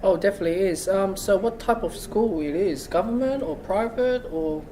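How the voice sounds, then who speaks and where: A man's voice: sits high, moderate pace, dark tone, slight background noise, low-quality recording. A young man hosting a casual talk show on a couch, asking questions with lots of "like" and "you know", recorded indoors on a camera mic a few feet away. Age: young adult. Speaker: host. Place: small room indoors, seated on a couch.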